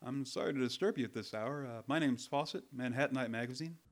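A man's voice: mid-range voice, fast, close to an everyday voice. A man playing a polite, curious magazine reporter in a radio drama, affably asking questions. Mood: affable, eager.

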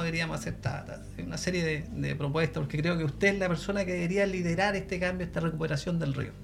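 A man's voice: a little high, creaky. A man with a Chilean accent, a guest on a talk show, telling his story in an earnest way. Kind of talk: radio talk show conversation.

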